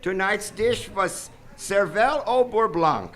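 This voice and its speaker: A man's voice: sits high, lively intonation, slow pace, a bit bright, full character voice. A man playing a smug, overly courteous doctor with a formal, unctuous manner in a live radio comedy.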